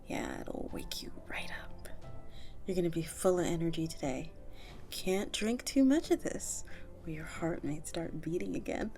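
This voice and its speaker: A woman's voice: lowish voice, a little slow, in a whisper, faint music behind. A woman voicing a friendly, nurturing vampire tavern keeper in an audio roleplay, chatting to the listener in a coaxing, conversational way. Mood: amused. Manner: animated, coaxing, conversational with little chuckles.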